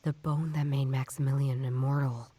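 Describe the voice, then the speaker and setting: A woman's voice: very deep voice, level tone, normal speed, warm tone, husky, dry recording. An actress voicing a stubborn, skeptical woman detective in a noir game, wry and musing as she reasons things out. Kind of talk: noir detective game voice acting, inner monologue.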